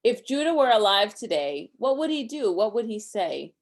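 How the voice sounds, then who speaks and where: A woman's voice: medium pitch, sing-song, normal speed. A woman reading a rhyming children's story aloud expressively, with simple, casual explanations for a young listener. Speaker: storybook reader.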